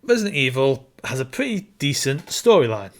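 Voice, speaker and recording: A man's voice: mid-range voice, very animated, fairly quick. A man co-hosting a podcast, talking in a casual film review chat with a wry manner. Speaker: podcast co-host.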